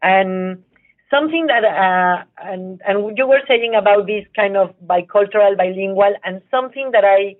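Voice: mid-range voice, moderate pace. Person on a tinny phone line. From Mexico. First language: Spanish. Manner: earnest, searching for words. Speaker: guest representing an award show.

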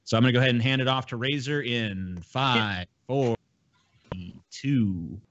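A man's voice: mid-range voice, a little slow, fairly bright tone. A man speaking informally, with some swearing, as the moderator of a casual live debate. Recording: dull lo-fi audio.

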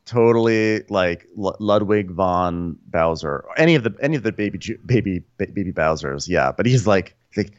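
A man's voice: sits low, animated, lo-fi sound. A man co-hosting a comedy recap podcast, chatty and exasperated as he narrates scenes. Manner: chatty, exasperated, rushed storytelling with impressions. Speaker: podcast co-host.

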